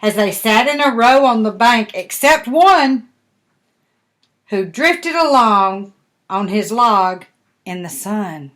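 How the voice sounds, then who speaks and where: An older woman, a grandmother, reading a picture book aloud to young children with gentle, folksy explanations and chatty asides. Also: on the high side, lively intonation, slow.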